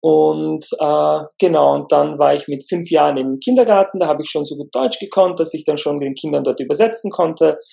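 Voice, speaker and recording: A man from Lower Austria, a speech therapist talking as a podcast guest, pausing often with fillers; his is Turkish. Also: vocal fry. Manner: hesitant, many fillers, relaxed. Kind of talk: conversational interview.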